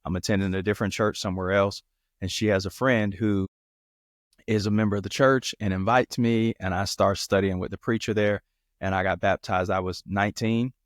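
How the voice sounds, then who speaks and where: Guest preacher, thirties, from Florida: low, even delivery, relaxed and rambling, dry sound. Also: male voice, a little fast, no echo. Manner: relaxed storytelling, repeats himself. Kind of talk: casual interview over video call.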